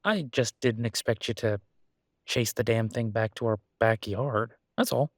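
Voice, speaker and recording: A man's voice: medium pitch, unhurried, dry recording, studio quality, natural voice. A man playing a devoted, reassuring human boyfriend in an intimate roleplay audio, speaking casually and hesitantly. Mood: flustered, reassuring. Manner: hesitant, casual, soothing, with soft chuckles. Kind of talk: roleplay audio acting, intimate one-sided conversation.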